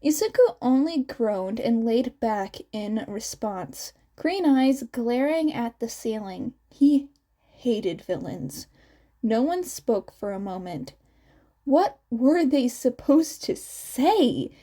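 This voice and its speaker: A woman reading fiction aloud as an expressive story narrator. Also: a little high, slow pace. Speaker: amateur audiobook reader.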